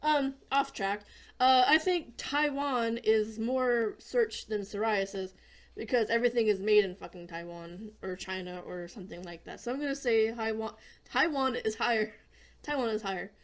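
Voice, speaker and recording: A hoarse woman hosting a casual gaming video, chatting in a rambling way and often clearing her throat. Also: a little high, normal speed. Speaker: gaming channel host.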